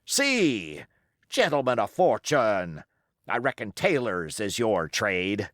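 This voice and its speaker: A man's voice: fairly low pitch, big pitch swings, slow, fairly bright tone, no echo, studio quality, exaggerated voice. A man voicing a cunning, scornful pirate ringleader in an audiobook, taunting and emphatic with rhetorical questions. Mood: contemptuous. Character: Long John Silver, scornful cunning male pirate ringleader.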